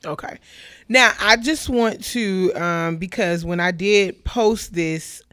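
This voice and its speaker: A woman hosting a relaxed talk show. She laughs easily and reads viewer comments aloud at an easygoing pace, recorded close and dry with no room sound. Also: mid-range voice, slow, clean tone, no room sound. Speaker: host. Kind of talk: casual panel chat.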